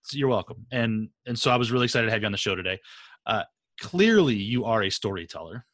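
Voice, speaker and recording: A man's voice: on the low side, expressive, fast, fairly bright tone, no echo, clean studio sound. A man hosting a podcast in a conversational, enthusiastic and emphatic way. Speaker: podcast host and interviewer.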